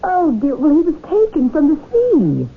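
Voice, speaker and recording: An actress playing a genteel, insistent society wife in a 1970s radio drama, with a theatrical, coaxing manner. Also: female voice, high-pitched, huge pitch swings, moderate pace, exaggerated voice. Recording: tinny, noisy 1970s radio recording.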